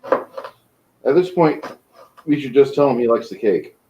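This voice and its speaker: A man's voice: mid-range voice, expressive, unhurried, noisy background. A man running a tabletop game, chatting casually with dry, wry remarks. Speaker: game master. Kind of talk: casual chat on a livestream. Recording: echoey room, lo-fi mic.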